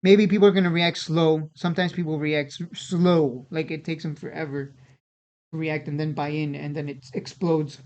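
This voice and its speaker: Casual trading-channel host, mid-pitched, informal and repetitive, on slightly lo-fi audio. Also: little pitch movement, normal speed, darkish tone. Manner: informal, repeats and restarts phrases. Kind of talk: casual unscripted market commentary.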